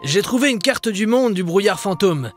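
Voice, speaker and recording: A man's voice: high-pitched, animated, moderate pace, soft background music. A man voicing an earnest, commanding adult ghost ship captain in a cartoon dub. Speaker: dubbing actor.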